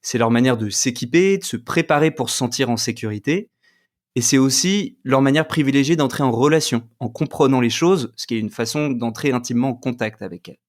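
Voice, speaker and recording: A man co-hosting a podcast, giving a measured, scripted explanation as an enneagram coach and trainer. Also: mid-range voice.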